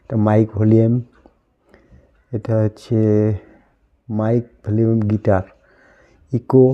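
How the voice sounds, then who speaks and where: Low, dark-voiced man. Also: lo-fi sound. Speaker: host of an unboxing video channel. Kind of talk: casual product unboxing commentary.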